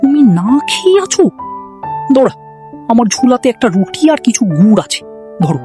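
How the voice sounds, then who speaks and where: Woman playing kindly male woodcutter, exclaiming and questioning, very lively pitch, folk-tale story over music. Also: moderate pace. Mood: caring. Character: kindly, concerned village woodcutter, a man.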